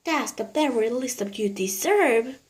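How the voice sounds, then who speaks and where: A woman voicing an excitable, warm-hearted lady who dotes on her pet, speaking in a gushing, eager way. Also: a little high, a little slow. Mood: thrilled.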